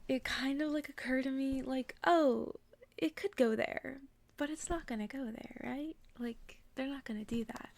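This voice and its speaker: A woman speaking in a soft, hushed whisper in the style of an ASMR bedtime reading. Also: on the high side, vocal fry, a little hiss or hum. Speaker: ASMR creator reading stories to listeners.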